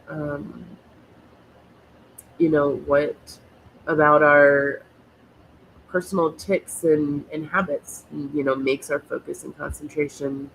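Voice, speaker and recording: A woman host with a very low, gravelly voice, stuffed up from a cold. She talks haltingly, leaning on fillers, over a faint background hiss. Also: poor audio. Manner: halting, lots of fillers and pauses. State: has a cold, snuffly. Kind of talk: casual chat.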